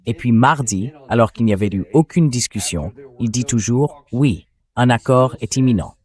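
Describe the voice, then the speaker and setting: A man's voice: medium pitch, lively intonation, normal speed, darkish tone. A man hosting a political interview, speaking in a dubbed news commentary style. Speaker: interview host.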